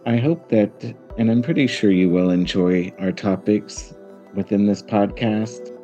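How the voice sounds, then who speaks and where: A man in his fifties with an American accent, hosting a reflective solo podcast in a measured, earnest manner. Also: lowish voice, dark tone, faint music behind. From Central Valley, United States. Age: 50s. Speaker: podcast host.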